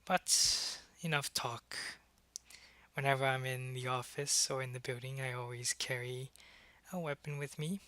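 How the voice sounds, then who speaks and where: A man playing a suave, calculating vampire businessman in an ASMR roleplay, speaking in a measured, smug and politely menacing way. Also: mid-range voice, steady tone, pushed and bright. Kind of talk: ASMR roleplay audio drama.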